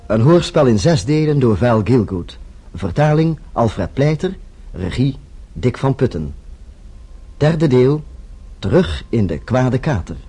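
A man's voice: medium pitch, sing-song, slow, long pauses, warm tone, breathy edge, soft background music. A man announcing the titles and credits of a Dutch radio play in a dramatic, scripted style.

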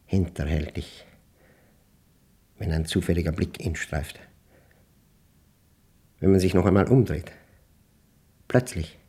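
An older widower in a radio drama, brooding and suspicious. His voice is low, dark and husky. He talks to himself in halting, deliberate phrases, like someone setting down an obsessive diary. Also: full character voice. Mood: grim, resentful. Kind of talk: German radio play monologue, introspective diary narration.

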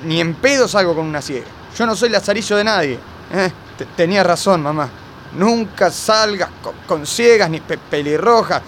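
An actor playing a paranoid, hot-tempered boyfriend in a comedy radio drama, stuttering aggressively with an Argentine accent. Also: male voice, sits high, lively intonation, normal speed, fairly bright tone, slight background noise. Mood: scornful. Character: paranoid, jealous, hot-tempered boyfriend, bullying mama's boy.

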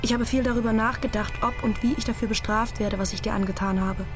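Actress doing guilty brainy scientist lady, reflective radio play monologue, highish voice, music under it. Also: fairly even intonation, fast talker, close to an everyday voice. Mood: reflective, troubled. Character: guilt-ridden rational woman scientist, reflective.

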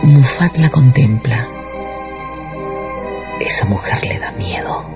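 A man's voice: mid-range voice, slow pace, in a whisper. A man delivering scripted, dramatic narration for a radio drama. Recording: dull radio production with music underneath.

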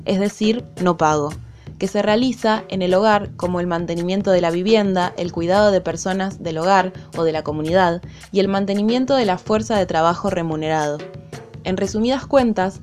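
A woman presenting scripted explanatory narration in a measured, didactic way. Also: mid-range voice, a little mellow. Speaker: program presenter.

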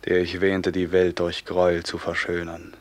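An actor playing a tormented, brooding robber captain in a classical radio play, with solemn theatrical diction that swings from hushed to outcry. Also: male voice, sits low, completely flat delivery, normal speed, close to an everyday voice. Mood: bitter, solemn. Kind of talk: classical German radio play acting, declamatory stage diction.

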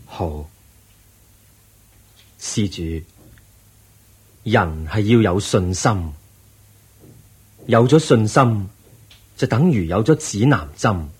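An actor playing a calm, wise, kindly holy teacher in a radio drama, measured and reassuring, with a Hong Kong Cantonese accent. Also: male voice, on the low side, husky, heavily acted. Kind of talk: Cantonese-language Buddhist radio drama acting.

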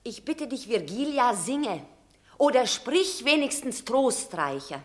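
A woman's voice: on the high side, sing-song, slow pace. An actress playing a proud, fierce Roman mother in a classical radio drama, declaiming with passion and emphasis. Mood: proud, exhorting. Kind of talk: classical stage-style radio drama acting.

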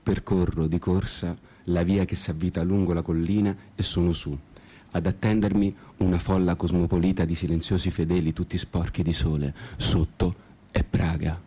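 An actor playing a disenchanted solitary traveller, narrating a literary radio-theatre monologue in a measured, introspective and ironic way, with guitar behind him. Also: male voice, low-pitched, flat delivery, warm tone, husky. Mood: reflective. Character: disenchanted male traveller wandering a foreign city alone.

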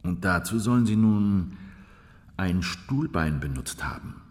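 In long, hall-like reverb, a male interrogator for a radio play. His lowish voice puts formal, probing questions with persistence. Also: expressive, unhurried.

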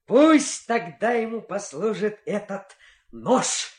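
An actor playing a lazy young man with bold ideas in a fairy-tale radio play, speaking in a slow, theatrical way. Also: sits high, very animated, roomy sound, full character voice. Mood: self-important musing.

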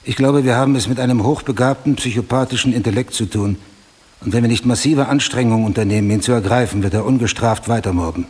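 An actor playing a driven, obsessive homicide detective sergeant in a German radio drama, speaking in a formal, deliberate, insistent way. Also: male voice, medium pitch, a little mellow, slightly rough, natural voice. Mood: grave, determined.

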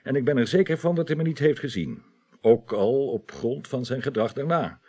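An actor playing a dutiful, deferential detective giving a meticulous report in a 1980s Dutch radio drama. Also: male voice, mid-range voice, lively intonation, moderate pace, dark tone, close to an everyday voice. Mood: earnest, precise.

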